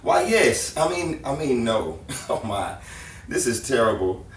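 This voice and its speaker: A man's voice: mid-range voice, sing-song, measured pace. A man playing a flustered, eager-to-please painter in a 1950s-style radio romance, stammering and apologetic. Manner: stammering, apologetic, nervously chuckling. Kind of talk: 1950s-style live radio drama acting. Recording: roomy, distant mic, faint noise, audience.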